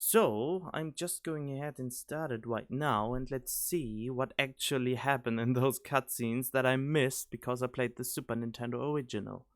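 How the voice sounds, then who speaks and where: A man hosting a casual gaming reaction video, talking in a halting way as he thinks aloud into a studio microphone. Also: mid-range voice. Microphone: studio condenser microphone.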